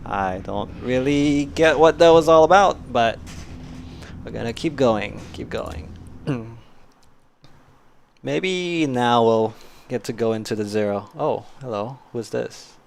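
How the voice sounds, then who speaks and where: A man's voice: mid-range voice, slow, creaky, a little hiss or hum. A man streaming a story game, chatting casually, laughing at his own jokes and reading game text aloud.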